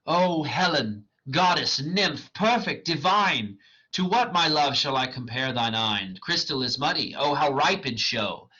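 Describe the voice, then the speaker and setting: A rejected young lover speaking in verse, read by a man. The voice moves a lot in pitch and sounds slightly husky. The recording is dull and lo-fi. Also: lively intonation, a little slow, pushed and bright, exaggerated voice. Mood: adoring.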